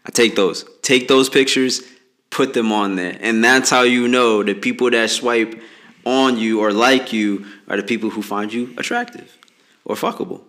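Late-twenties male co-host, easygoing and jokey, mid-pitched voice, casual podcast chat. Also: moderate pace, a bit bright. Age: late 20s. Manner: laid-back, joking, slangy advice.

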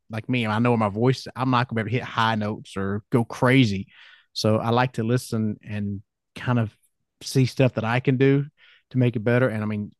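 A man's voice: fairly low pitch, a little mellow, no room sound, clean studio sound. A man with a growly voice hosting a podcast, talking in an easygoing, chatty way with frequent restarts. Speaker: podcast host. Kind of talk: casual interview chat.

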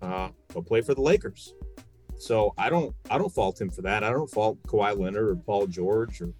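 A man from New York with a slightly deep voice talks sports casually. He makes his points emphatically and throws out rhetorical questions. Faint music sits under his voice. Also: expressive, normal speed, a little mellow. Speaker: sports podcast guest and radio play-by-play broadcaster. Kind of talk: casual sports talk, opinionated.

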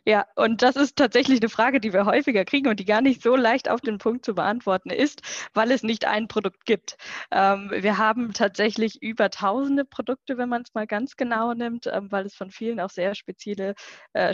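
A woman's voice: fairly high pitch, normal speed, dry recording, highs cut off. A woman speaking as a company recruitment manager and guest, explaining things in an interview.

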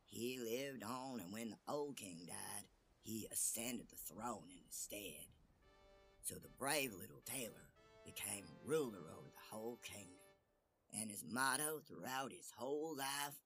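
A man narrating a fairy tale expressively, dramatic, often dropping to hushed phrase endings. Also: lowish voice, lively intonation, measured pace, vocal fry, soft background music. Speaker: storyteller.

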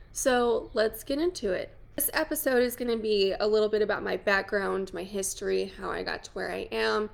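A woman's voice: medium pitch, creaky. A woman, chatting casually and playfully as a podcast host. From New Mexico. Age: 20s. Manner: chatty, self-deprecating, playful asides. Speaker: podcast host, wedding photographer. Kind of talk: casual chat.

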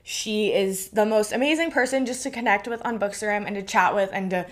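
A woman's voice: mid-range voice, fairly quick. A bubbly woman hosting a podcast, chatting casually and laughing often. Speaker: podcast host. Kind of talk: casual chat.

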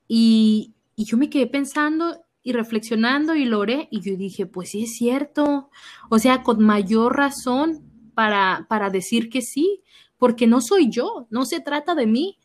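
A young woman with a Mexican accent, a youth minister sharing her faith story in an animated conversational way. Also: a little high. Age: young adult. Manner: animated storytelling, acts out her own past reactions. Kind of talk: personal testimony in conversation.

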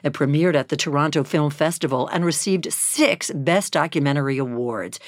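A woman's voice: low-pitched, dry recording, studio quality. A woman hosting an interview, introducing guests and asking questions with playful jokes. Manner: playful, quick to laugh and joke. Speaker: interview host. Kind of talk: hosted interview.

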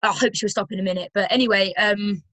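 A woman's voice: mid-range voice, fairly even intonation, fast talker, a bit bright, no room sound. A young woman in her twenties with a London accent, chatting as a guest in a casual podcast interview, full of fillers and restarts. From Romford, London. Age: 20s. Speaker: guest footballer and personal trainer.

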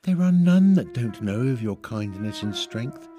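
A man putting on a gentle, high-lifted lady butterfly. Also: measured pace. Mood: gently pleading. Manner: courtly, flattering, pleading. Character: gentle female butterfly, polite, free-spirited. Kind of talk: poetic storybook recitation. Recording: solo flute music behind.

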